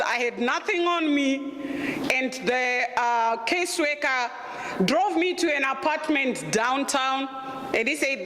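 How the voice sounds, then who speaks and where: A middle-aged woman from Zimbabwe with a Zimbabwean accent and a deep voice, telling her own story as a guest presenter in a candid, humorous and emphatic way. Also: fairly bright tone, slight background noise. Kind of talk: personal storytelling talk. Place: lecture room. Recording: echoey room with long reverb.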